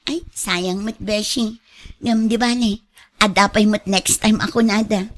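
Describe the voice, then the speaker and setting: A woman's voice: medium pitch, steady tone, a little slow, natural voice. An actress playing a chummy young female friend in an Ilocano radio drama. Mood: chummy, coaxing.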